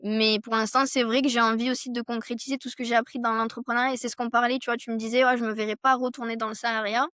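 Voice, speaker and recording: A young woman from France speaks as a podcast guest in a smooth, clear voice pitched a little high. She thinks aloud in long, winding sentences full of fillers. The sound is close and dry, with no room around it. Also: little pitch movement, racing, hardly any pauses, fairly bright tone, dry recording. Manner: thoughtful, talks in long winding runs with many fillers. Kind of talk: casual conversational interview.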